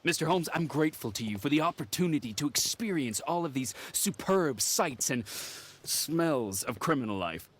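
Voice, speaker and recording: An actor playing a weary, theatrical Victorian gentleman with sarcastic politeness in a video game. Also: fairly high pitch, animated, normal speed, few pauses. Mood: sarcastic, fed up. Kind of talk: dramatic video game acting.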